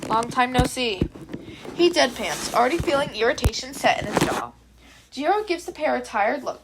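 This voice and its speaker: A high-pitched woman's voice reads fiction aloud. She delivers scripted narration in the manner of an amateur audiobook. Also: a bit bright.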